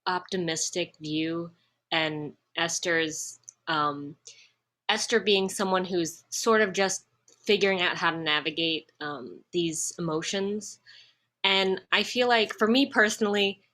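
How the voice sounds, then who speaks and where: A woman's voice: lowish voice, a little slow, sharp tone. A woman on a panel sharing personal reflections casually, in a thoughtful and somewhat hesitant way.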